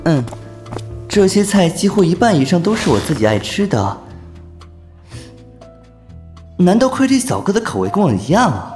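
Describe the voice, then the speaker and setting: A male actor as a shy, flustered young homebody in an audio drama. His pitch swings animatedly as he hesitates and stammers like someone hopelessly smitten. Music plays underneath. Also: sing-song, a little fast, darkish tone. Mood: shy, nervous. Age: young adult. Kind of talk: Chinese audio drama acting with inner monologue.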